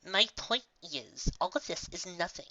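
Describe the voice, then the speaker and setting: A woman's voice: lowish voice, animated, unhurried, sharp tone, no room sound, dull audio, voice pushed up. A woman voicing a smug, dismissive unicorn bureaucrat in a storybook, lecturing in a pompous, officious way.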